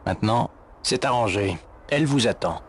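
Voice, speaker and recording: Evasive male café manager, played by a man for a game dub: sardonic, hesitant, highly animated intonation. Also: normal speed. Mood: uneasy, evasive. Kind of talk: video game fan dub acting. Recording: faint background noise.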